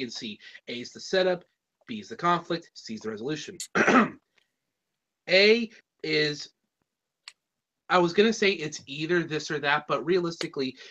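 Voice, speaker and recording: A man's voice: fairly high pitch, sharp tone. A man with a Californian accent, chatting casually as a reviewer, rambling and slangy. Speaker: reviewer, podcast host.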